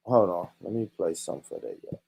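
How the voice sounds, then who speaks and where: A man's voice: deep voice, very animated, quick pace, creaky, mellow, breathy edge, rough recording. A man hosting a vlog, chatting loosely. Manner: laid-back, addressing viewers. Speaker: vlogger host. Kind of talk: casual vlog chat.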